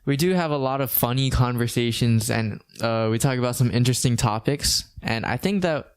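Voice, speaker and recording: A young man in his late teens from Hawaii hosts a laid-back podcast. He talks in a flat, monotone way, rambling and restarting his sentences. His voice is smooth and clear. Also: mid-range voice, fairly quick. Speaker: podcast host. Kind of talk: casual podcast chat.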